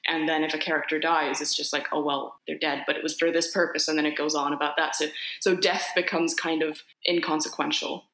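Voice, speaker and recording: A bright, forceful-voiced woman from Finland talks loosely as an interview guest, circling back and restarting her thoughts as she goes. Her voice rings out in a noticeably roomy, echoey space. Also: low-pitched, level tone, brisk, few pauses. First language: Finnish. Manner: rambling, many restarts and fillers. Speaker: actor guest. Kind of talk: casual interview chat.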